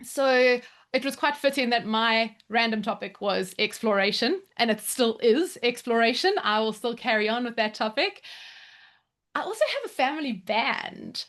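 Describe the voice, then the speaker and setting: A woman's voice: a little high, fairly bright tone. A woman with a South African accent, in her thirties or forties, introducing herself as a program mentor in an upbeat, casual way on a video call and laughing easily.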